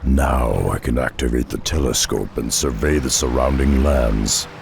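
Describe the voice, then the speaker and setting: A man voicing a gruff, stoic, huge half-orc warrior in a video game, deep and gravelly. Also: a little slow, background noise, full character voice. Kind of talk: video game character acting.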